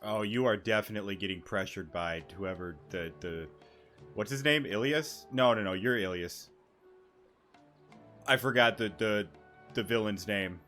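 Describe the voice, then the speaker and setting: A man's voice: on the low side, moderate pace, bright, forceful tone. A man giving casual let's-play commentary, blunt and sweary, with muttered asides. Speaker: gaming streamer reacting to a story quest. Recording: background game music.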